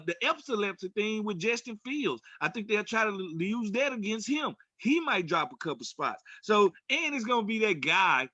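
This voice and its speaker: High-pitched, bright-voiced man talking sports. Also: dry recording. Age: 40s. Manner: colloquial, joking, opinionated. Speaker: sports podcast co-host. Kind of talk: casual sports banter.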